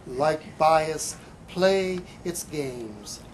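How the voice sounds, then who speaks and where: A man giving a live poetry reading in a bookstore, with halting, hesitant asides and deliberate delivery. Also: fairly high pitch, expressive. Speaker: poet. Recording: light background room noise.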